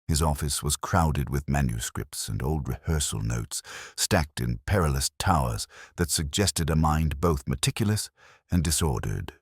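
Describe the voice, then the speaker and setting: Man playing brooding male detective, very low voice, expressive pitch movement, measured solemn mystery narration, observant, dry close sound. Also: husky, dry recording, heavily acted. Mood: somber, observant. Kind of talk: scripted first-person mystery narration.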